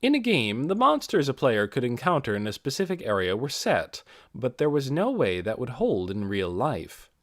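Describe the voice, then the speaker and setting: Guy narrating a fantasy audiobook, super expressive intonation, dry clean room. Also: mid-range voice, moderate pace, hardly any pauses, dry recording.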